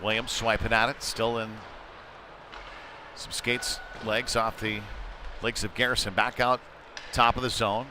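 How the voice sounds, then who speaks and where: A man's voice: mid-range voice, a little slow, long pauses, background noise. A man giving informal, conversational play-by-play commentary on an amateur hockey game. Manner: conversational and informal, excited when play heats up. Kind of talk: live amateur sports commentary.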